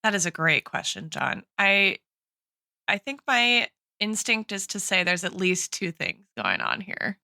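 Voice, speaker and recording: A woman with a bright, forward voice discusses books on a podcast like a thoughtful teacher. She reasons out loud, qualifying her points as she goes. The sound is dry and close. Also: mid-range voice, sing-song, a little slow, vocal fry, dry recording. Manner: reflective, analytical, hedging with frequent tags like "right" and "like". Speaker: podcast host and guest expert, a teacher.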